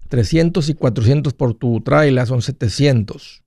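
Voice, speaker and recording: A man's voice: mid-range voice, moderate pace, mellow, dry recording, clean studio sound. A man hosting a call-in advice show, talking in a folksy, conversational way. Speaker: host of a personal finance call-in show.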